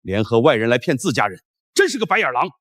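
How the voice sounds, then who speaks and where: An actress playing a suspicious, scornful relative in a short drama. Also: female voice, very deep voice, big pitch swings, fast, a bit bright, no room sound, studio quality, exaggerated voice. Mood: accusing, scornful. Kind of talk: Chinese short drama acting.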